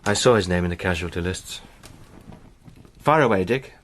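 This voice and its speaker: An actor playing a cheerful, adventurous gentleman in a radio drama, breezy and full of old-fashioned slang. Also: deep voice, normal speed. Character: adventurous upper-class British gentleman, cheerful.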